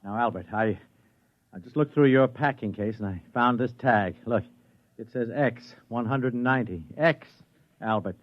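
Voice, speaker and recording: An actor playing a fretful suburban husband with a hesitant, stammering manner in a 1950s radio drama. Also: male voice, mid-range voice, moderate pace, lightly acted. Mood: flustered. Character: flustered, fretful suburban husband, an ordinary man. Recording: dull vintage radio recording.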